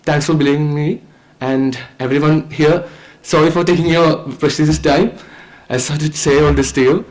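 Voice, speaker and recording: A nineteen-year-old man with an Indian accent gives an earnest, halting, informal thank-you speech as a student filmmaker. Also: fairly high pitch, steady tone, low-quality recording. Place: event stage at a film festival.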